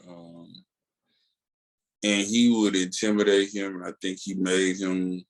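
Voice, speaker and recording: Low-pitched American man, slangy podcast co-host. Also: poor audio. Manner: slangy, animated storytelling with many filler pauses. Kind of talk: casual chat.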